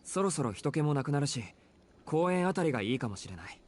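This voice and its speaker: A man's voice: a little high, very animated, racing, darkish tone. A man playing a blunt, exasperated, sensible teenage boy in a Japanese visual novel. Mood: weary, serious.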